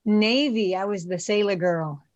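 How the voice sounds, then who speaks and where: A woman's voice: medium pitch, measured pace, a little mellow, dull audio, close to an everyday voice. A woman playing a polite ex-military wellness business owner as a game show contestant, answering briefly. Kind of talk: improvised game show parody.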